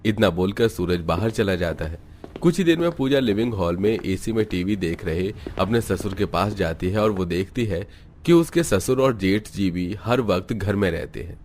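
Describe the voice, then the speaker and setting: A man's voice: sits low, expressive, normal speed, a little mellow. A man reading scripted narration for a cartoon family story.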